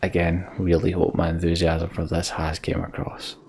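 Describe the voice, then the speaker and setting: A man hosting a gaming let's play, talking casually to his viewers in a halting, reflective, heartfelt way. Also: deep voice, monotone, creaky, darkish tone, slight background noise. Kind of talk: casual sign-off chat to viewers.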